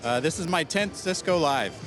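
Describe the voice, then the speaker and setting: A man's voice: on the high side, animated, moderate pace, over music. A man from Wyoming, a network admin, answering an interviewer in a laid-back, plainspoken way.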